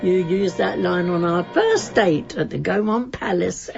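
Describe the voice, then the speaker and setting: An actress playing a feisty, teasing elderly wife in a radio drama. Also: female voice, on the low side, a little slow, creaky, darkish tone, lo-fi sound, soft background music. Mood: indignant, teasing. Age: older.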